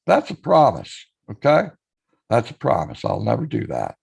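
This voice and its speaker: A hoarse man chats on his live stream. His gravelly voice rises and falls a lot, and he greets his viewers by name as he reads out their messages. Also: fairly low pitch, huge pitch swings, normal speed, a little mellow.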